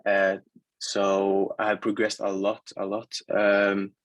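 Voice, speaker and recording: A young man in his late teens speaks English with a Norwegian accent. His voice is fairly low and even in pitch, in casual interview talk with a dry, close sound. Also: slow pace, dry recording. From Norway. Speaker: athlete guest.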